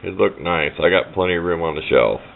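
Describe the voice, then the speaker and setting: A man with a very low voice talks casually, as if thinking aloud while showing off a workbench project. His delivery is folksy and rambling. The recording is narrow and phone-like, with some noise behind him. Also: a little fast. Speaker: hobbyist presenting a radio project. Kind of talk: casual hands-on show-and-tell. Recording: muffled phone-like audio with clear background noise.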